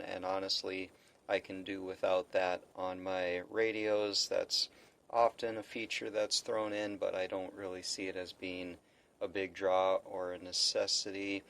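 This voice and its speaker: A man's voice: lowish voice, creaky, a bit bright. A man giving a casual, unscripted gear review, hesitant and thinking aloud as he goes. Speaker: product reviewer. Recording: faint background noise.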